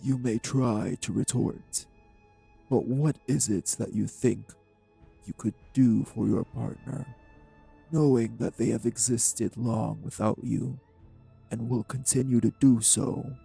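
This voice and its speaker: A man playing a cold, manipulative vampire villain in an audio roleplay, speaking with deliberate, condescending menace in a husky, gravelly voice. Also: medium pitch, fairly even intonation, dark tone, soft background music, full character voice. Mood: taunting, menacing. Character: cold, manipulative male vampire taunting his captive. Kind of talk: dramatic audio roleplay acting.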